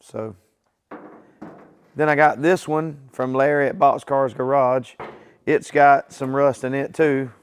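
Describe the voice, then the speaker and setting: A man's voice: medium pitch, fairly even intonation, takes it slowly, pauses often, smooth. A man hosting his own garage channel, talking casually to viewers in a plain, easygoing way, his voice weakened by illness. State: weak voice from illness. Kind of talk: casual workshop vlog talk. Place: home garage workshop.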